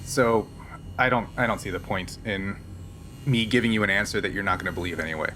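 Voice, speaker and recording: A man playing a guarded, resigned smuggler pilot in a tabletop roleplaying game, speaking in a halting, measured way. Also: fairly low pitch, bright, forceful tone, pitched below the performer's own voice. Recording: faint background noise.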